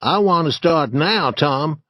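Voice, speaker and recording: A man voicing a timid boy sidekick, in a slightly raised pitch, hesitant and stammering when scared. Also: animated, takes it slowly, a bit bright, no room sound. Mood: nervous. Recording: dry, slightly dull recording.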